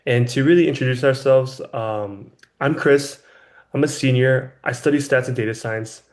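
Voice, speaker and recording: A man's voice: medium pitch, normal speed. College-age man hosting a podcast, friendly and casual, from California, filler-heavy. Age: 20s. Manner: friendly, lots of ums and you knows. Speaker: podcast host. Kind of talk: casual chat.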